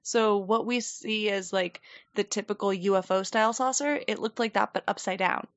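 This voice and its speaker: A woman's voice: medium pitch, moderate pace, dry recording, highs cut off. A woman co-hosting a podcast, telling a story in a chatty, rambling way and laughing often. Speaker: podcast co-host. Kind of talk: casual storytelling chat. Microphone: desk microphone.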